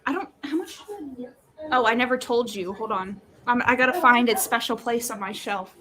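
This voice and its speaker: A woman's voice: on the high side, normal speed, pushed and bright. A woman co-hosting a live readalong show, talking casually and informally about books. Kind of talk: casual book chat.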